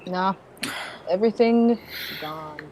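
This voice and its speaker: A woman on stage playing a laid-back, flippant, teasing woman with a husky voice, in naturalistic stage acting. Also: mid-range voice, slow pace, dark tone, slight background noise, lightly acted, poor audio. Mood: offhand. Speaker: actor in a staged play reading.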